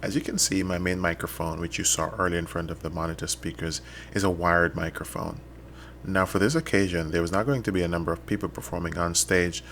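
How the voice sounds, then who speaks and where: A man's voice: deep voice, fairly bright tone, a little hiss or hum. A man giving a scripted tutorial narration in a methodical, explanatory way. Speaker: instructor and DJ.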